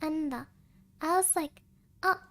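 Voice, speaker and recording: High-pitched girlish woman streamer. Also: lively intonation, moderate pace, fairly bright tone. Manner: giggly, cutesy, peppers talk with Japanese words. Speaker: VTuber host and streamer. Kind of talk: casual chat and soft-spoken ghost storytelling.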